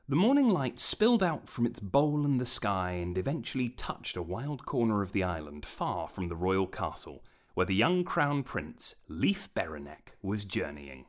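Low-pitched man narrating fantasy, expressive range. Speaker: audiobook narrator. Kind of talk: scripted fantasy story narration.

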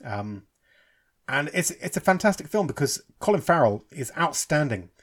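A man's voice: on the high side, big pitch swings, moderate pace. A man from England giving a casual, rambling film review as a podcast host, with lots of fillers and restarts.

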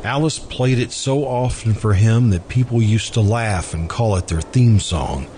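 Man acting a low-voiced, wistful radio-drama friend. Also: mellow, a little hiss or hum, close to an everyday voice. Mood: wistful, confiding. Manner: hesitant, wistful, deliberate. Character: earnest man in love with best friend's widow. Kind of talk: old-time radio drama acting, narrating.

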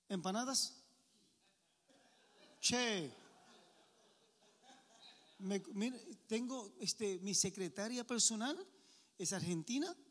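A man's voice: sits high, a bit bright, big-room sound. A Puerto Rican man preaching informally to a men's meeting, chatty and joking, often asking the crowd questions. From Puerto Rico.

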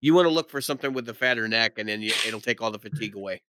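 A man's voice: lowish voice, sharp tone, clear, resonant tone, dry recording. A man co-hosting a casual podcast chat, sure of his views and saying "like" a lot. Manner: quick, sure, uses "like" a lot.

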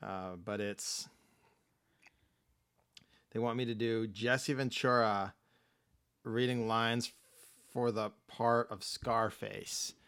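A laid-back podcast host chats casually and rambles, often laughing. His voice is gravelly and bright, with a dry, close recording. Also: mid-range voice, steady tone, takes it slowly, pauses often, dry recording. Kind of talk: casual chat.

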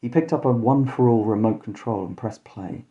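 A man with a very dark, mellow and fairly low voice narrates a spoof detective horror story. He reads as a storyteller, deadpan yet mock-dramatic. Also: brisk, dull audio. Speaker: podcast host and storyteller. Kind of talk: scripted comic horror narration in mock-detective first person.

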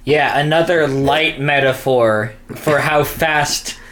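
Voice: mid-range voice, takes it slowly, fairly bright tone, low-quality recording. A podcast co-host making casual comic commentary in a wry, joking, sweary way.

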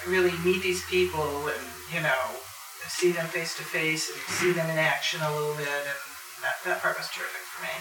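A woman's voice: deep voice, steady tone, a little fast. A woman talking casually as a convention panelist, recorded from a distance in an echoey, noisy room. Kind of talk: casual live panel talk. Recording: distant mic, echoey, loud noise.

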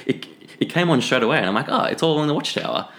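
A man's voice: fairly high pitch, lively intonation, very fast, a bit bright. A man on a film discussion panel, chatting casually with mild, hedging understatement. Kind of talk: casual chat.

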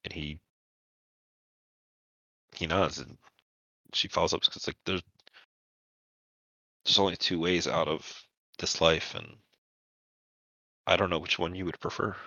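Very deep-voiced man narrating a game. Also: steady tone, vocal fry, sharp tone, no room sound, highs cut off. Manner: halting, informal storytelling. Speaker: game master. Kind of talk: narrating a tabletop roleplaying session.

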